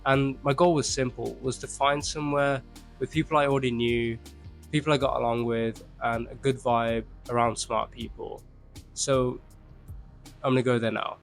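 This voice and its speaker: A man's voice: medium pitch, steady tone, moderate pace, gravelly, soft background music. Chatty young man with a Birmingham accent. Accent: Birmingham English. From England. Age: young adult. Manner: chatty and upbeat, with restarts and loose asides. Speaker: vlogger and startup founder. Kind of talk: casual vlog talk to camera. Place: outdoors in a mild city.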